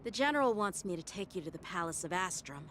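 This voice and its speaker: A woman's voice: on the low side, sing-song, fast, a bit bright, slight background noise. A woman playing a polite, earnest young officer in a video game. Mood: earnest, casual.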